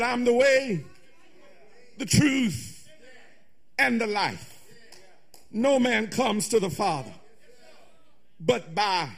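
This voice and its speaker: A man preaching a fervent, musical sermon in a raspy, gravelly voice, in short, emphatic, repeated phrases that slide toward singing and shouting. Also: extremely high pitch. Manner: emphatic repetition in short, drawn-out phrases with calls to the congregation.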